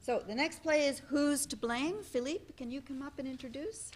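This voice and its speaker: A woman's voice: high-pitched, moderate pace, a little hiss or hum. A woman reading stage directions as scripted narration at a dramatic play reading.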